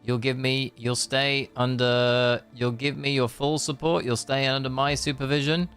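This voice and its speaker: A man's voice: mid-range voice, flat delivery, normal speed, fairly bright tone, smooth, soft background music. A man streaming a game, reading story text aloud with sarcastic, joking asides.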